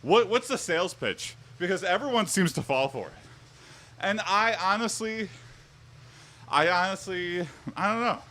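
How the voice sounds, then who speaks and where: A man's voice: high-pitched, expressive, unhurried, sharp tone. A man hosting a casual livestream from a room at home, chatting and answering viewers while he exercises on a bike, his mic set a little way off. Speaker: livestream host. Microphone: standing mic a little way off.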